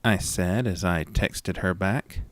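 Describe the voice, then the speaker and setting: A man reading a scripted first-person horror story in a dramatic, deliberate storytelling manner. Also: deep voice, no echo, studio quality. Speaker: narrator and lead of a horror audio story.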